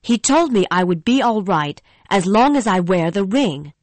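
A woman's voice: medium pitch, expressive, moderate pace, a little mellow, no echo, natural voice. A woman voicing a frightened young female opera singer in an audiobook, with earnest, pleading delivery. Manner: earnest, pleading dialogue reading. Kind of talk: audiobook dialogue acting.

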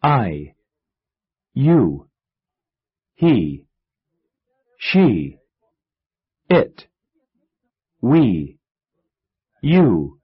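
Polite, slow-speaking young Tom. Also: male voice, medium pitch, huge pitch swings, full character voice. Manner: polite, clearly enunciated model delivery. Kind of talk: scripted textbook dialogue and drills. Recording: muffled lo-fi tape.

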